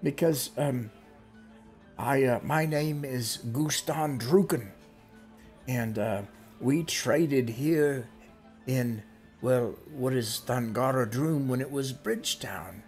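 A man's voice: mid-range voice, slow pace, a little mellow, soft background music, natural voice. A man voicing a scratchy-voiced old male quest giver who rambles hesitantly with many fillers. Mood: pleading.

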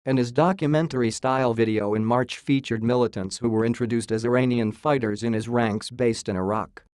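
A man's voice: medium pitch, brisk, clear, resonant tone, no room sound. Synthetic-sounding man reading news, dry sound. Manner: flat synthetic read-out, spells out abbreviations and symbols. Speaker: news reader. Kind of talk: scripted news narration.

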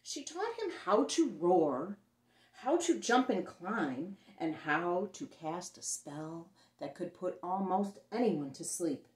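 A middle-aged woman reads a picture book aloud to young children with theatrical emphasis and dramatic pauses. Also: on the low side, lively intonation. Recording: roomy echo on the voice.

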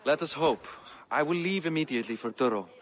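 An actor playing an earnest, diplomatic middle-aged warrior king with a grave, deliberate delivery in a video game. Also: fairly high pitch, expressive, slight background noise, lightly acted. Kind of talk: video game cutscene acting.

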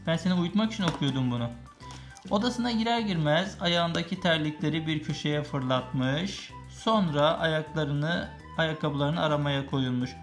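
Low-voiced woman reading a children's story. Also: moderate pace. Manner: explains and chats with the child while reading. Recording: music playing behind the voice. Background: music.

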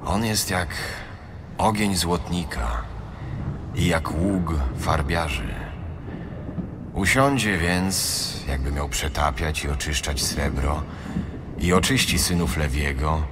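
A man's voice: very deep voice, noisy background. A man giving a solemn, weighty, dramatic reading of scripture. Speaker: scripture reader.

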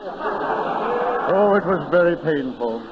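A solemn, pompous country parson in a 1930s radio comedy, preaching in a fairly high voice. The old recording is muffled and echoey. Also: male voice, expressive, slow, over a noisy crowd, very noisy. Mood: solemn, pious. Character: solemn, sanctimonious country parson, an adult man.

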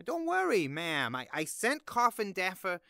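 A bumbling small-time henchman in an audio drama, played by an actor. The voice is sharp and very animated, full of nervous, stammering bravado and eager to please the boss. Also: mid-range voice, a little slow, creaky, no echo, clean studio sound, exaggerated voice.

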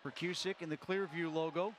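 High-pitched guy calling hockey live, loud rink noise behind him, yells on goals. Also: fairly even intonation. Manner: rapid calls that rise sharply on goals. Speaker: play-by-play announcer.

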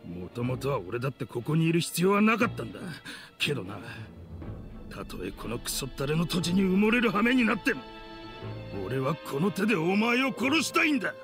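Vengeful bitter anime game man, rough talk, high-ish voice that goes all over the place. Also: sing-song, brisk, over music. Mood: bitter, menacing. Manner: rough, contemptuous speech with theatrical accusation. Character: bitter, vengeful man in a grudge-driven confrontation.

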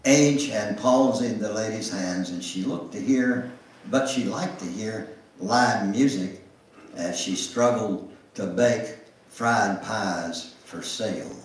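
An older man reads a memoir aloud with deliberate, theatrical storytelling. His pace is slow and his pitch sits in the middle range for a man. The sound is very echoey, picked up at a distance in a room. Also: slight background noise. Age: older, 70s or above. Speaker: guest speaker reading aloud. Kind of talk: dramatic reading of a memoir. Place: church sanctuary, at a lectern. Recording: echoey room, recorded from far off.

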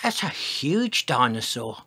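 A reader voicing a gentle, timid young giant dinosaur in a small, earnest voice for a children's storybook. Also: medium pitch, very animated, slow pace, breathy edge, exaggerated voice. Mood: wondering.

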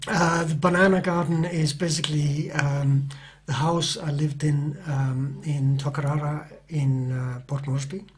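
A man's voice: on the high side, steady tone, a little slow, hardly any pauses. A middle-aged man who is not a native English speaker, an author answering interview questions in a hesitant way, with many fillers and restarts.

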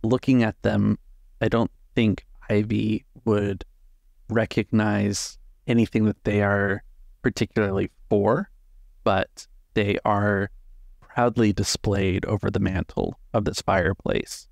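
A man improvising narration as a tabletop game master, in a measured, halting way with drawn-out words. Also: fairly low pitch, flat delivery, creaky, darkish tone, no room sound, clean studio sound.

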